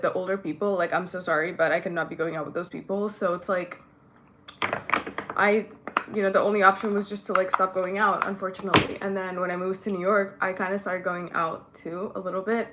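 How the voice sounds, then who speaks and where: A woman's voice: mid-range voice, a bit bright, a little hiss or hum. A young woman from Ethiopia whose first language is not English, chatting candidly to camera while getting ready, with many fillers. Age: young adult. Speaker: vlogger. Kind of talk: casual get-ready-with-me chat.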